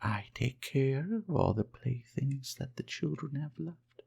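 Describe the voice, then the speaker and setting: A man's voice: mid-range voice, animated, a little slow, gravelly, warm tone, slightly rough, pitched above the performer's own voice, heavily acted. A man voicing a kindly female nursery fairy from a storybook, tender and soothing. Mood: tender, reassuring. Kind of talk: storybook character voice.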